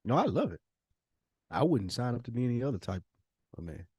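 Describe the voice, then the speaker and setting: A man's voice: mid-range voice, sing-song, fairly quick, darkish tone, breathy edge, no room sound, clean studio sound. An American man talking casually as a podcast co-host, informal and slangy, giving his opinions freely. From United States. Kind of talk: casual conversational podcast chat.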